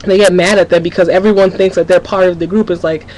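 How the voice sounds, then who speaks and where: A woman's voice: lowish voice, steady tone, quick pace, darkish tone, poor audio. Teenage girl rambling candidly to camera. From Minnesota, USA. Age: teens. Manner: rambling, candid, restarts sentences often. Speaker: vlogger. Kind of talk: casual confessional webcam vlog.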